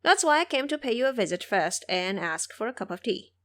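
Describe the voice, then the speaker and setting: A woman's voice: a little high, sing-song, brisk, fairly bright tone. A woman voicing a brash, proud monkey king turned monk in an audio drama, speaking boastfully and indignantly.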